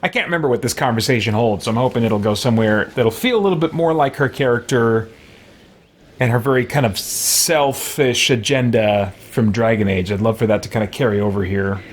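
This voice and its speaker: A man's voice: mid-range voice, a little fast. A man of around forty giving casual video game commentary, thinking aloud as he goes. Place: small home room lined with shelves.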